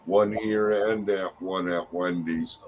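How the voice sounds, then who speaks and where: Deep-voiced older man, unhurried talk, casual chat, dull lo-fi sound, faint hiss. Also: steady tone. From Wisconsin. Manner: laughs often, jokes, trails off and restarts. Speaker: livestream co-host.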